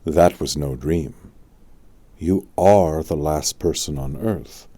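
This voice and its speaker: A man voicing a wise, immortal old wizard, a calm mentor teaching in a measured, solemn way in an audiobook. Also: a bass voice, no room sound, voice pushed down, full character voice. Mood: calm certainty. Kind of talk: audiobook storytelling.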